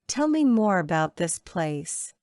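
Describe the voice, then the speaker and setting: A woman reading short English phrases for a language lesson, saying each one slowly and carefully twice. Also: fairly low pitch, sing-song, noisy background. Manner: measured, careful enunciation. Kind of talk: slow repeated drill phrases.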